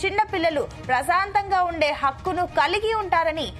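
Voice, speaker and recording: A woman news presenter reading a scripted report in a formal, measured manner. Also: very high-pitched, a bit bright. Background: music bed.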